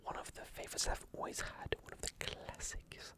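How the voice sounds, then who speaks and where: A man whose first language is French, talking softly and whispering into a close mic as an ASMR creator. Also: normal speed. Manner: soft-spoken, drifting into whispers. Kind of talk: soft-spoken ASMR talk.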